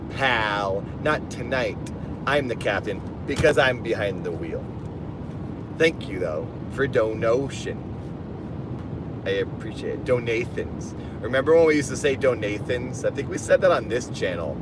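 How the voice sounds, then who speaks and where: A man with a lisp chatting casually on a livestream from his car while driving, rambling and reading out donations. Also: mid-range voice. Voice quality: lisp on S sounds. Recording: car road noise behind.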